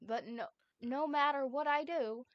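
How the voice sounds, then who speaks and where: A woman streamer voicing a frightened, desperate teenage boy who is never believed, with pleading, rushed outbursts. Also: high-pitched, no room sound, highs cut off. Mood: bitter. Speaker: streamer reading a fanfic aloud. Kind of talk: dramatic fanfic reading.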